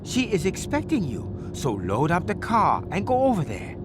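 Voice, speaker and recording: An actor playing a menacing gangster who gives orders in stilted, formal, non-native phrasing in a game cutscene. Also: male voice, high voice, wildly swooping pitch, normal speed, background noise. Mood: stern, commanding. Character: menacing foreign male London gangster giving orders. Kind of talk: video game cutscene acting.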